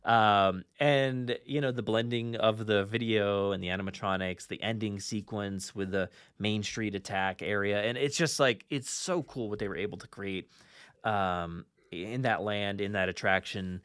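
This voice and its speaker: A man with a fairly low voice hosts a casual fan podcast. He is enthusiastic, yet he circles back and repeats phrases as he goes. The sound is very dry, with no room around it. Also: fairly even intonation, moderate pace, a bit bright, smooth, dry recording. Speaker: podcast host. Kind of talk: casual fan chat, rambling countdown.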